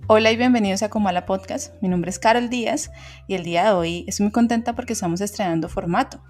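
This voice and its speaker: Brief woman podcast host, mid-pitched, welcoming tone, soft guitar music underneath. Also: quick pace. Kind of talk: podcast hosting.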